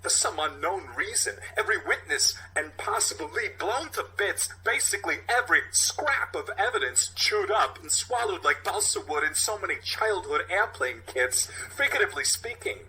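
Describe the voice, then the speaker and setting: Casting: an unpredictable cop-show captain, Walken-like halting delivery, pleasant yet menacing, raised and animated pitch. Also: male voice, slight background noise, heavily acted, poor audio. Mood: deliberate, sardonic. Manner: halting theatrical monologue swinging between hushed and shouting. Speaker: police captain.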